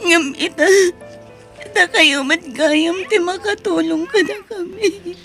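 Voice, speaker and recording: A very high, girlish voice from an actress plays a young daughter full of remorse. She pleads emotionally in audio-drama style, with music underneath. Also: fairly even intonation, slow pace, creaky, bright, forceful tone, full character voice. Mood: tearful, remorseful. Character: tearful, remorseful young female student and daughter.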